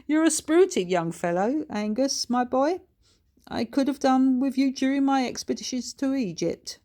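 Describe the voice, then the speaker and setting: A woman's voice: high-pitched, expressive, normal speed, warm tone, pitched above the performer's own voice. A woman voicing the jovial, genteel ghost of a grandfather in a storybook, with courteous, old-fashioned phrasing.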